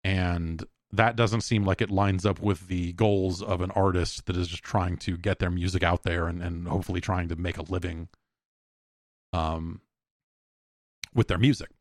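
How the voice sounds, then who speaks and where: An American man hosting a podcast alone in a casual, rambling, halting way, thinking aloud and speaking bluntly. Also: deep voice, steady tone, no room sound, clean studio sound. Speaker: podcast host. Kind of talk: casual solo podcast talk, reading listener emails.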